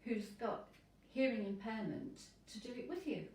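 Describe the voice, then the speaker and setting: A woman priest who lives with hearing loss, speaking earnestly and in measured phrases in an interview. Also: fairly high pitch, a little mellow, echoey room, distant mic.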